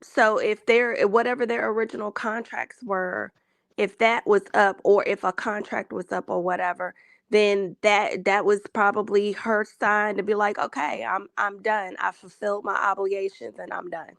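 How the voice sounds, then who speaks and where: A woman guest on a livestream, getting over a cold, speaking hesitantly and slowly with many pauses. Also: mid-range voice, fairly even intonation, gravelly, a bit bright, dry recording. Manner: hesitant, lots of ums, slow and measured. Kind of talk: casual opinion chat.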